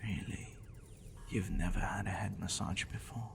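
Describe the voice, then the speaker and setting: A man playing a possessive, sadistic professor in an ASMR roleplay, condescending and teasing, slipping into intimate whispers, with a low gravelly voice. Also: fairly even intonation, background noise. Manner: condescending, teasing, deliberate, dropping into breathy whispers. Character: possessive sadistic male professor, obsessive, teasing, smugly intellectual.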